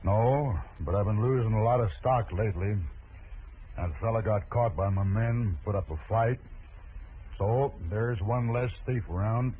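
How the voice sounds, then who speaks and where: An American actor playing a proud, stubborn, middle-aged cattle rancher with a rural western accent and a curt, folksy, commanding manner in a radio western. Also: male voice, lowish voice, measured pace, lightly acted. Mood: defiant. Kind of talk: 1950s radio western acting. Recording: muffled, noisy old radio recording.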